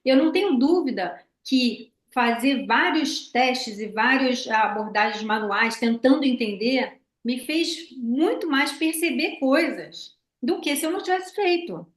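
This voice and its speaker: A woman's voice: high voice, animated, measured pace, roomy sound. An older woman from Brazil with a Rio de Janeiro accent, a podcast guest telling nostalgic stories in casual chat. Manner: warm storytelling, nostalgic.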